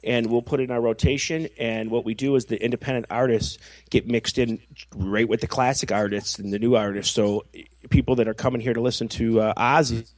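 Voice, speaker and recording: A man in his forties co-hosts a radio chat. He cuts in with quick, casual wisecracks in a mid-range voice. The sound is dry and close. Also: medium pitch, fairly even intonation, no room sound. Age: 40s. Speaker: radio co-host.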